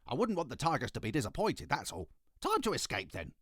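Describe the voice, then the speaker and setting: Storybook little sister, played by a man: high-pitched, innocent, questioning, animated. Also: a little fast, slightly rough, dry recording, clean studio sound.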